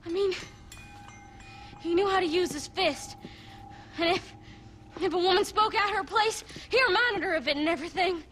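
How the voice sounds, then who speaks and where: A woman's voice: extremely high pitch, moderate pace, pauses often, a bit bright, slight background noise. A woman voicing a frightened young country girl in a game cutscene, tearful and rambling. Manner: tearful, rambling, rural folksy speech. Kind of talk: video game cutscene acting.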